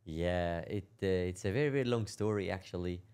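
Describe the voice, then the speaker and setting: A young man from Syria telling his life story in a casual interview, speaking in a halting way, repeating words and laughing as he talks. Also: low voice, darkish tone, clear, resonant tone. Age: young adult. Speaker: interview guest.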